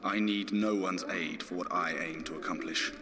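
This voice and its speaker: A man's voice: sits low, moderate pace, over music, close to an everyday voice. An actor playing a proud, defiant young nobleman in a fantasy video game, with formal, resolute delivery. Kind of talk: dramatic game dialogue.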